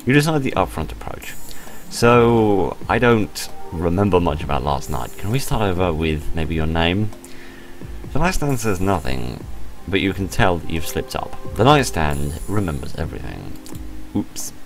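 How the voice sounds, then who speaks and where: Deep, animated British man narrating a game. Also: measured pace. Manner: expressive, deadpan sarcastic asides. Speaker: let's play host. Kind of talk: reading a visual novel aloud with wry asides. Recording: music behind the voice. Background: game music.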